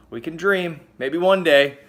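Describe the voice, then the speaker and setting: Joking male host of a news roundup. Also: on the high side. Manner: joking, chatty, with sudden loud exclamations. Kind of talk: casual comedic news roundup.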